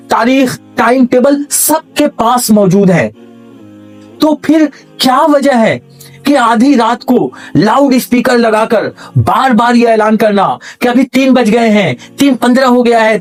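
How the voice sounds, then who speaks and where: A man from India makes an earnest public appeal, emphatic and stacking his points in lists. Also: very high-pitched.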